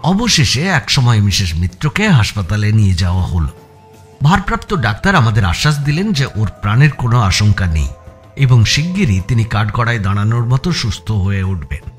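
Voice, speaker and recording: A man's voice: medium pitch, breathy edge. A man with an Indian Bengali accent giving a dramatic first-person audiobook narration of a story.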